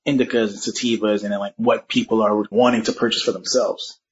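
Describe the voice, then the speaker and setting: A man's voice: on the low side, flat delivery, brisk. A man interviewing a guest, asking short, easygoing questions. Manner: brief, easygoing prompts. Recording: dull lo-fi sound.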